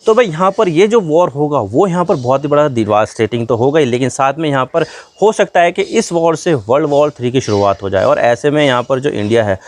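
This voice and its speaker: A man's voice: mid-range voice, lively intonation, brisk, hardly any pauses. A man from India gives a conversational explainer, addressing viewers colloquially and leaning on repeated filler phrases. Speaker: news explainer host.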